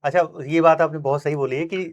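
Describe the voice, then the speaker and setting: A male interviewer with a slightly high-pitched voice. He talks like a coaching teacher, emphatic and encouraging, in a conversational flow with frequent tag questions. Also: steady tone, fast, lo-fi sound. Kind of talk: conversational interview with motivational asides.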